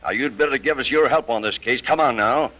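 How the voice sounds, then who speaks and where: An actor playing a tough, sardonic police captain, brusque and commanding, in an old-time radio crime drama. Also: male voice, medium pitch, a little fast, close to an everyday voice. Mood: pressing, shrewd. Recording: muffled vintage radio recording.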